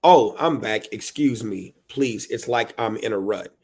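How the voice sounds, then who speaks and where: A man's voice: fairly low pitch, measured pace, darkish tone, husky. A man voicing an exasperated, overwhelmed single mother of three in a dramatic, emphatic spoken-word book reading. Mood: frustrated, despairing.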